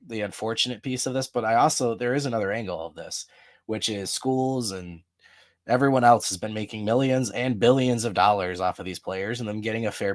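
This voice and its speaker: A man co-hosting a casual sports podcast, laying out his point in a steady, reasoned way. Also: fairly low pitch. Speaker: podcast co-host.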